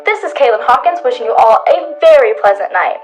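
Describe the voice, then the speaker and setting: A woman's voice: high-pitched, expressive, fast, soft background music. A young woman reading scripted radio credits as the announcer. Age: young adult.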